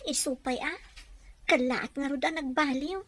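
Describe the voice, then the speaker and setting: A woman's voice: high voice, a little slow. A woman playing a gossipy, concerned neighbour in an Ilocano audio drama, chatty and given to exclaiming.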